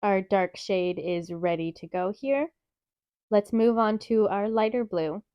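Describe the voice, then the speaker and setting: A woman with a stuffy, nasal voice from a cold, calmly explaining steps in a craft tutorial. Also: mid-range voice, unhurried, no echo, dull audio. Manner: calm, methodical, chatty explaining. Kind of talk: step-by-step craft tutorial narration.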